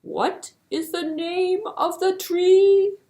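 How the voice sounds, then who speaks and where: A woman voicing a patient, determined tortoise in a puppet-show tale, drawing out words slowly and deliberately. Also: very high voice, fairly even intonation, warm tone, pitched above the performer's own voice, heavily acted. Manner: slow drawn-out deliberate speech. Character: slow, patient, determined tortoise, unhurried and persistent.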